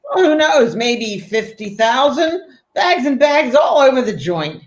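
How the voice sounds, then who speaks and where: A woman plays a brash, street-smart postal worker in an old-time radio play. The delivery is slow and very animated, with a high-set voice and an excitable streak. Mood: brash, amused. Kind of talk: 1940s-style live radio play acting.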